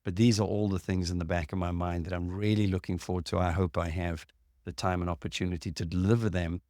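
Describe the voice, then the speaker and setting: A man hosting a podcast and explaining things in a measured, casual way, with frequent ums and uhs. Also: low voice, level tone, a little mellow, no room sound, studio quality. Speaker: podcast host and clinician.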